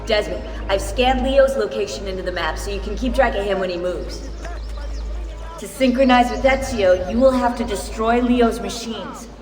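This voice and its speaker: A woman playing a businesslike mission guide in a video game, brisk and informative. Her mid-range voice rings with echo and long reverb over faint music. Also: medium pitch, a little mellow, natural voice. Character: businesslike female mission guide, modern-day assassin ally.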